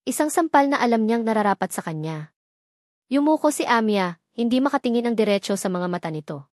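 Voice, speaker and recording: A woman's voice: fairly high pitch, fairly quick, no room sound. Woman narrating a drama, dry close sound. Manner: steady dramatic reading. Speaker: storyteller. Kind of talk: scripted narration.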